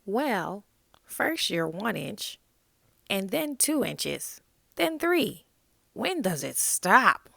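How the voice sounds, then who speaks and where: Stubborn young frog for a picture book female reader, slow, childlike protests, dry close sound. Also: medium pitch, big pitch swings, gravelly, sharp tone, dry recording, heavily acted. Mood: reluctant. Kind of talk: picture-book read-aloud.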